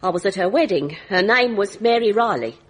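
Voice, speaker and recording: A woman's voice: medium pitch, expressive, a little fast, breathy edge. An actress playing a firm, unshakeable middle-aged woman from New Zealand, giving plain, emphatic testimony in a radio drama. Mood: matter-of-fact. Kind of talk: radio courtroom drama acting.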